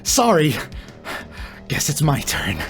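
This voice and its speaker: A man voicing a cool, menacing female hunter in a tabletop game, with a brisk, dry delivery. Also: fairly high pitch, wildly swooping pitch, creaky, faint music behind, heavily acted. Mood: cool menace.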